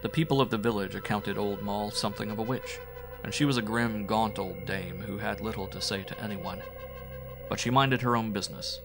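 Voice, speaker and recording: Male audiobook storyteller, fairly low pitch, dramatic and brooding scripted horror delivery over music. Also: creaky, rough recording. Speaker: audiobook narrator.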